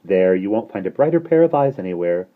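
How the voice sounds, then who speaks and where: A man voicing a kindly talking sunbeam who speaks softly. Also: fairly low pitch, sing-song, fast. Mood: gentle. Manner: soft, kindly. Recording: dull lo-fi recording.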